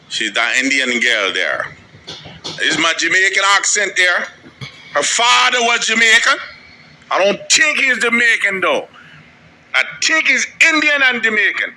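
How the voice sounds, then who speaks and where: A man co-hosting a commentary show, talking in an animated, mocking way as he reacts to clips. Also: high voice, poor audio.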